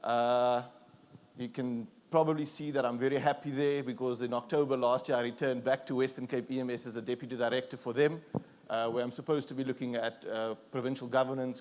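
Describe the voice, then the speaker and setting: A man with a South African accent, raised speaking Afrikaans, presents at a conference. His voice sits at an ordinary middle pitch. He talks in an easygoing, self-deprecating way, pausing on fillers and restarting phrases. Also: steady tone, a little mellow.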